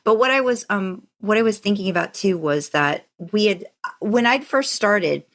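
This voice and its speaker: A woman's voice: mid-range voice, expressive, normal speed, a bit bright. A woman co-hosting a podcast, chatting casually in an easygoing way and often repeating her phrases. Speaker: podcast co-host.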